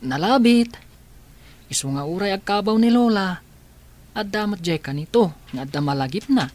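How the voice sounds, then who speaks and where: A woman's voice: medium pitch, animated, normal speed, a little mellow, lightly acted. A woman with a Filipino accent playing a cheeky, affectionate young village boy in a radio comedy drama. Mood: thoughtful.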